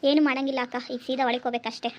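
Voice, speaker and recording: A woman playing a tearful, obedient young daughter-in-law who answers softly. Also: high-pitched, level tone, very fast, muffled recording, close to an everyday voice. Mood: meek. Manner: soft, obedient.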